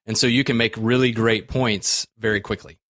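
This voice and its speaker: A man's voice: on the low side, fairly even intonation, moderate pace, a bit bright, dry recording. Male podcast host, dry sound. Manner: sets up questions with quotes and brief affirmations. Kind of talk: interview questions.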